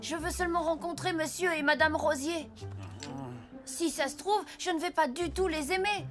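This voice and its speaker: Young cartoon boy hero, high-pitched, sincere and well-mannered, music underneath. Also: normal speed, fairly bright tone. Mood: pleading. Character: earnest, polite young orphan boy apprenticed to Father Christmas. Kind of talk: French cartoon dubbing. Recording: music behind the voice.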